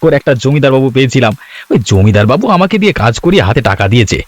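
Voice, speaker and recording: A man acts out a pushy cartoon traitor who bargains hard and threatens. His voice is fast and bouncy, pitched a little high, and recorded dry. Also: big pitch swings, no room sound, lightly acted. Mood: chatty. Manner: haggling, bossy and threatening. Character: greedy, pushy male disciple turned traitor. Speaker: voice actor. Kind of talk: cartoon voice acting.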